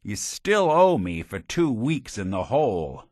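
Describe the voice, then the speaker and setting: A man's voice: lowish voice, very animated, a little slow, a little mellow, dry recording. An actor playing a world-weary, wry robot detective in a video game, with dry, sardonic, folksy tough-guy phrasing.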